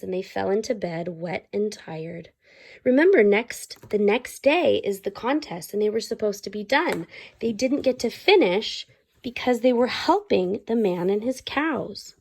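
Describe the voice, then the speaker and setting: A woman reading a children's story aloud expressively, like a teacher, pausing to explain and ask the young listeners questions. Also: medium pitch, animated.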